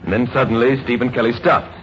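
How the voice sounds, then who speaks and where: A man narrating a 1950s radio drama in a grave, deliberate, suspenseful scripted style. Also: mid-range voice, fairly even intonation, a little hiss or hum. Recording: muffled vintage radio recording. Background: dramatic music.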